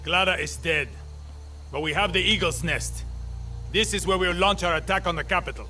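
An actor playing a hardened, cynical older guerrilla mentor in a video game, with a blunt, profane, goading manner. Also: male voice, sits high, normal speed, bright, forceful tone, recorded from a distance, soft background music. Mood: cold, grim. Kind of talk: cinematic video game acting.

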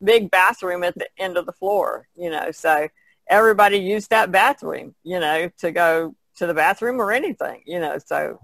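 A woman's voice: on the low side, moderate pace, creaky, a bit bright, no room sound. A woman co-hosting a podcast in casual, reflective chat, plainspoken and restating her points.

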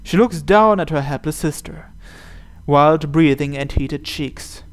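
A man's voice: on the high side, measured pace. A man narrating a visual novel aloud as host, reading the scripted text.

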